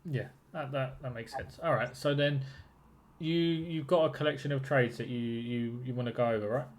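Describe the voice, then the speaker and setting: A man's voice: mid-range voice, normal speed. A man working as a trading mentor, asking probing questions and giving affirming feedback in a casual one-to-one coaching call.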